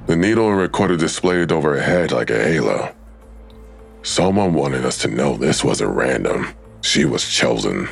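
An actor playing a terse, brooding police detective who questions everything in an audio drama, with a gravelly voice. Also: male voice, a bass voice, big pitch swings, normal speed, soft background music, full character voice. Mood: brooding, intent.

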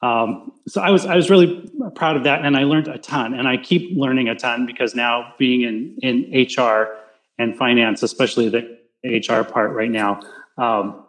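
A man with a naturally loud voice answering questions in a casual online interview. He talks in halting bursts, restarting phrases and filling pauses as he goes. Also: medium pitch, poor audio. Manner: halting, full of restarts and fillers. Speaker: interview guest. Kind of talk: casual video-call interview.

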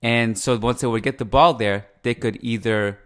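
A man's voice: mid-range voice, fairly even intonation, moderate pace, a bit bright. A man with an East Coast Canadian accent with Persian influence, hosting a casual football analysis podcast. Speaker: sports podcast host.

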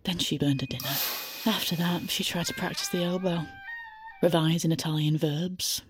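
A woman narrates scripted audio fiction in a notably low voice. Her storytelling is measured and deadpan, with music clearly present behind her.

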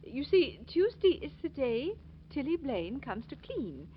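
An actress playing a genteel, upright aunt in 1940s radio style, with a polite, proper manner. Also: female voice, high-pitched, big pitch swings, unhurried. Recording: muffled, noisy old radio recording.

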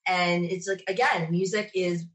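A woman chatting casually as an interview guest, retelling things in an enthusiastic, animated manner. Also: fairly low pitch, a little slow. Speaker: podcast interview guest, sci-fi podcaster. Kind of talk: casual conversational storytelling.

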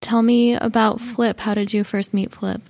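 A woman's voice: fairly high pitch, level tone, moderate pace, creaky, darkish tone. A woman hosting a podcast, asking questions and narrating between interview segments. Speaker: podcast host.

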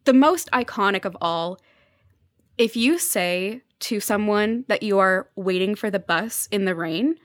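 A woman's voice: medium pitch, measured pace, a bit bright, no echo, clean studio sound. A woman guest on a podcast, explaining pop culture in an enthusiastic, conversational way.

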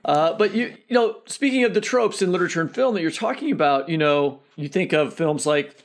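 A man's voice: fairly high pitch, expressive, fairly quick. A man hosting a conversational interview podcast, building long, wandering questions with frequent restarts.

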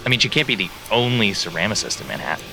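A man's voice: on the low side, very fast, music in the background, close to an everyday voice. An actor playing a friendly, encouraging man making breezy, reassuring small talk in an audio drama.

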